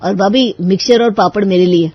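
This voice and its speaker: A man's voice: high voice, a little fast, breathy edge, no echo, muffled recording. A man voicing a cheeky, snack-loving young brother-in-law in a family story. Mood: breezy.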